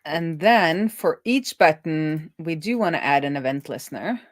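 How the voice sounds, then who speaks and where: A woman talking casually as she live-codes, thinking aloud in a playful, animated manner. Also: low voice, a little slow, dry recording, studio quality. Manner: animated, playful, breaks into laughter and song. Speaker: live-coding streamer, web developer.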